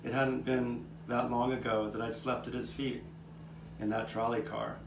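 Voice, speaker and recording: Puppet show role: man as reflective old male street cat telling his past, measured, recorded from afar over clear noise. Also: medium pitch, level tone, lightly acted. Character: old male street cat, reminiscing storyteller with nine lives. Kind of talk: scripted puppet show narration. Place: outdoor courtyard. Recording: distant, echoey live taping with background noise.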